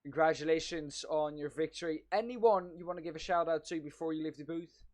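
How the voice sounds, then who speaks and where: Higher-voiced, upbeat male co-commentator. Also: brisk, a little mellow. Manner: upbeat, easy laughs, wraps up the show. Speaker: race co-commentator. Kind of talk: sim racing commentary.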